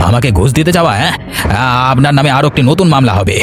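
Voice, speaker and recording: A man's voice: medium pitch, animated, rapid-fire, close to an everyday voice. A man playing a stern cartoon policeman with a formal, accusatory manner. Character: stern policeman making an arrest. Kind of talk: Bengali cartoon voice acting. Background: music.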